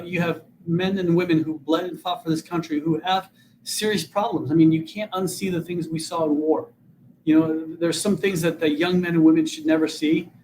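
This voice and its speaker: A man's voice: on the high side, a little mellow, slight background noise, poor audio. A man in his fifties, originally from Vietnam, speaking earnestly as an interview guest and often repeating words as he starts sentences. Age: 50s. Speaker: guest, political candidate.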